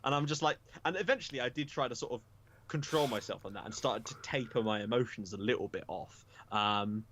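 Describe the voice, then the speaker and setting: Young man, podcast guest, casual and frank, middle pitch. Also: fairly quick, fairly bright tone, a little hiss or hum. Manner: frank, self-deprecating, swears casually. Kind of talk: casual chat.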